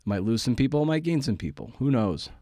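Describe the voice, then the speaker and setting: A man hosting a podcast in a casual, confessional monologue, halting and self-deprecating, with casual swearing. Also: medium pitch, expressive, warm tone, no room sound, studio quality. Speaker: podcast host. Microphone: close podcast microphone.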